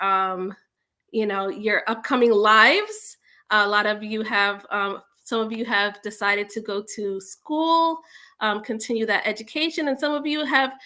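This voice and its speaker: A woman in her forties hosting a live online community session, speaking with many ums and pauses. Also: a little high. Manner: warm, hesitant with many ums. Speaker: host. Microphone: video call.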